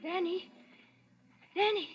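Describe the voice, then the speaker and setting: A woman's voice: very high voice, takes it slowly. An actress playing a frightened, devoted young woman, anxious and pleading with her fugitive lover in 1940s radio melodrama style. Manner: anxious, pleading, breathlessly emotional. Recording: dull lo-fi vintage radio recording.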